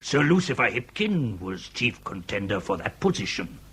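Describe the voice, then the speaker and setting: A low, bright male voice with theatrical swings and faint noise behind, a pompous, gossipy period gentleman. He blusters and savours every ornate phrase of the radio comedy. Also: very animated, normal speed, breathy edge, heavily acted. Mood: gossipy relish.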